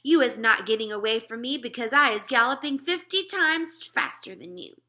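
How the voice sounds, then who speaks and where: A woman's voice: a little high, animated, normal speed, bright, forceful tone, pitched above the performer's own voice. A woman voicing a huge, brutish male man-eating giant in a children's storybook, bellowing in mangled grammar. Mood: gloating. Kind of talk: children's storybook read-aloud.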